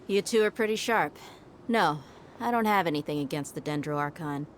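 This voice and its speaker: A woman's voice: fairly low pitch, expressive, normal speed, a little hiss or hum, natural voice. A woman playing a confident, laid-back young desert mercenary in a video game, frank and assured. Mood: teasing, then serious. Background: faint music.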